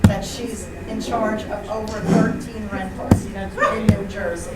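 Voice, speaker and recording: A woman's voice: medium pitch, fairly even intonation, heavy background noise. A woman in her sixties speaking up at a town council meeting, talkative and blunt with a joking streak. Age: 60s. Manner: talkative, blunt, quick to joke. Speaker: resident speaking at a public meeting. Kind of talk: casual town meeting talk. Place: borough council meeting room. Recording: echoey room, far from the mic, loud crowd.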